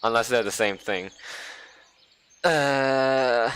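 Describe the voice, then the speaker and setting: A young man reading a visual novel aloud, with playful, sarcastic asides and mock-dramatic slowdowns. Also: medium pitch, a bit bright, clear, resonant tone. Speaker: game streamer.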